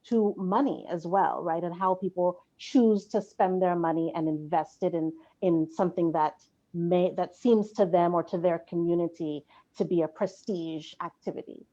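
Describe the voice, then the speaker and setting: An articulate woman on a discussion panel who lays out her points analytically. Her voice sits a touch low, and the audio is muffled and lo-fi. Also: steady tone, moderate pace, warm tone. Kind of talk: live online panel discussion. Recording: dull lo-fi audio.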